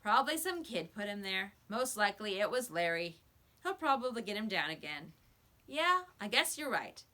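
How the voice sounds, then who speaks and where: A woman's voice: mid-range voice, very animated, normal speed, bright, forceful tone. A woman voicing a surprised, folksy male auto mechanic in a storybook, with casual exclamations. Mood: casual, puzzled.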